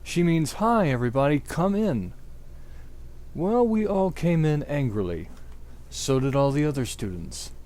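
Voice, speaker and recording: A man narrating a story as a dramatic reading, theatrical and deliberate. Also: medium pitch, darkish tone. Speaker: amateur narrator. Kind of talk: dramatic reading of comic fan fiction.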